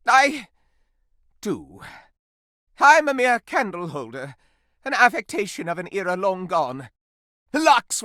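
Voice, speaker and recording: A man voicing a flamboyant, lustful antique candelabra in a video game, with a pompous, florid, melodramatic delivery full of breathy swoons and purrs. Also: high-pitched, sharp tone, no room sound, clean studio sound, exaggerated voice. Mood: flustered, wistful. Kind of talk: video game character acting.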